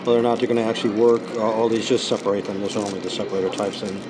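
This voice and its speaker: A hoarse man vlogging in a casual, rambling way with many ums and uhs, recording on a small tripod camera inside a busy coffee shop. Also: fairly low pitch, completely flat delivery, very fast, crowd noise. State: hoarse from singing practice. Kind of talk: casual unboxing vlog. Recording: noisy coffee shop with music playing. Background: background music and cafe noise.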